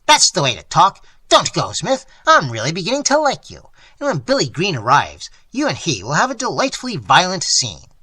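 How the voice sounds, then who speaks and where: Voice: mid-range voice, wildly swooping pitch, normal speed, hardly any pauses, bright, forceful tone, slightly rough, no room sound, voice pushed up, exaggerated voice. A narrator voicing an irascible older male painter who rants and craves quarrels, with an explosive, barking delivery, in a story reading. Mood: gleeful, eager.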